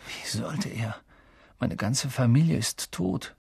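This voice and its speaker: A man voicing an eager, stubborn young schoolboy in a storybook reading, intense and secretive, often hushed and urgent. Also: mid-range voice, lively intonation, slow pace, gravelly, breathy edge, no room sound, whispered, clean studio sound, pitched above the performer's own voice, exaggerated voice. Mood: upset, insistent.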